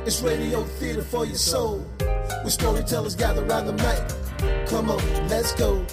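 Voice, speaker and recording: A woman's voice: fairly high pitch, steady tone, measured pace. A woman performing a show jingle, half speaking and half singing in a promotional style. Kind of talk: musical show jingle, half spoken, half sung. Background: loud music.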